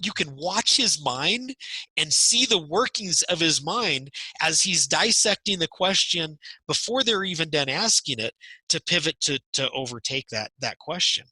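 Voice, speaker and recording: A man's voice: a little high, expressive, unhurried, pushed and bright, husky, dry recording, rough recording. A man speaking on a panel, enthusiastic and often stumbling over restarts. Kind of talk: casual discussion.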